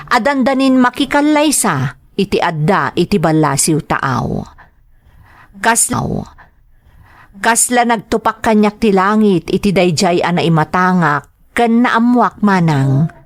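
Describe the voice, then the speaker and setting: A woman's voice: mid-range voice, no echo. A woman narrating a scripted first-person drama story in an earnest, reflective manner.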